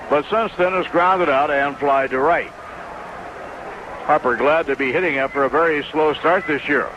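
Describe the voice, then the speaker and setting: A man announcing baseball play-by-play and game statistics on the radio. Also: on the high side. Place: stadium broadcast booth. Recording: dull noisy vintage AM radio.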